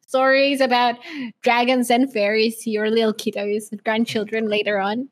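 A woman's voice: fairly high pitch, flat delivery, moderate pace. A woman in her late twenties with a mixed Australian and American accent, chatting casually and teasingly as a podcast co-host. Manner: teasing, sweary, playful. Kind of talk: casual chat.

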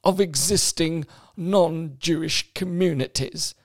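A pompous, plummy British official , as a podcaster puts him on, reads a formal declaration in a stately voice. He pitches it high and drags the words out slowly. The sound is close and dry, with no room around it. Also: dry recording. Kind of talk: podcast monologue.

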